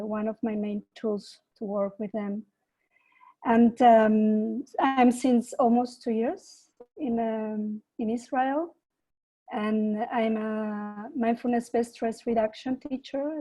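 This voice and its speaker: A woman from Peru, a mindfulness teacher, giving an online talk and gently guiding a meditation over a video call. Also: a little high, rough recording. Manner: slow, soft guiding voice with gentle invitations. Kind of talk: online presentation and guided meditation.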